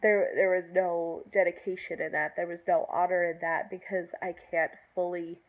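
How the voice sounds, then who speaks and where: A young woman with a hoarse voice from a cold, talking earnestly in a casual vlog. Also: on the low side, little pitch movement, normal speed, narrow, tinny audio. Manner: earnest, lots of ums and restarts. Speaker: pagan vlogger.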